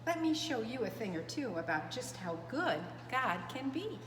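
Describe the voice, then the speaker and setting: A woman's voice: on the high side, big pitch swings, normal speed. A woman voicing a tiny, cute female bush baby in a storybook, speaking earnestly and expressively. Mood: kindly, wise. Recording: echoey reverberant room, clear background noise.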